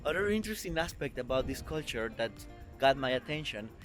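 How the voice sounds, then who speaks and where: 20s guy from costa rica, earnest careful english, clear music bed behind. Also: mid-range voice, expressive, fairly quick, a bit bright. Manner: earnest, careful non-native English with small grammar slips. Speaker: vlogger. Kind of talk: explanatory travel vlog. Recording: music playing underneath.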